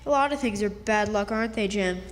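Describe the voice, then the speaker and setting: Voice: medium pitch, brisk, lightly acted. A performer playing a plucky, skeptical country boy in a stage radio drama, talking in a plain, folksy dialect. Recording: reverberant hall, live stage performance.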